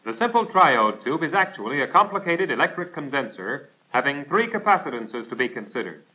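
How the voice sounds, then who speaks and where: Thin tinny vintage film soundtrack, American man, lively intonation, formal instructional narrator, precise, didactic. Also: medium pitch, a little fast. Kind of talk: scripted instructional narration, 1940s military film. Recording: tinny band-limited 1940s film soundtrack.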